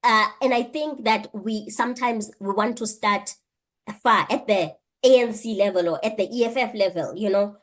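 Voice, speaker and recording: A woman in her thirties speaks at a middling pitch. She answers as a guest in an earnest, reflective way, working through her ideas aloud with rhetorical questions and frequent restarts. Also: normal speed. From South Africa. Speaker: guest, PhD candidate and lecturer.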